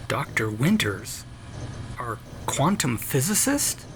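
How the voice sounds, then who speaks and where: An actor playing a grave, shaken but determined scientist with halting delivery in an audio drama. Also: male voice, mid-range voice, sing-song, background noise. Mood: uneasy. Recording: loud background ambience under voice.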